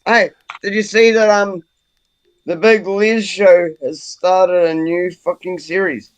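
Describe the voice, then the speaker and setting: A man's voice: high-pitched, steady tone, takes it slowly, poor audio. A man chatting bluntly on a group call as a stream guest, swearing a lot and teasing. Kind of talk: casual banter on a group call.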